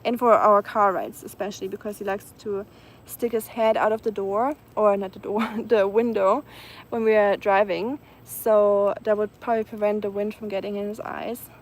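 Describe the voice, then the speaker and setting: A woman from Germany with a German accent, chatting casually and softly outdoors, with many ums. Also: medium pitch, steady tone, normal speed. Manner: soft-spoken, chatty, many ums, laughs lightly. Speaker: vlogger. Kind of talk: casual live chat outdoors. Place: outdoors in the evening, nature sounds around.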